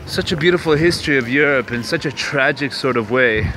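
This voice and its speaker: Young male vlog host from Canada, mid-pitch, rambling street monologue, clear city noise behind. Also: a little fast. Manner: rambling, musing, many fillers and restarts. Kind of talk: casual walking vlog monologue.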